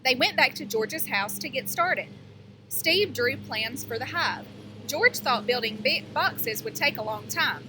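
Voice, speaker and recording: A woman teacher reading a picture book aloud to young children, pausing to explain things and ask them questions. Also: high voice, animated, background noise.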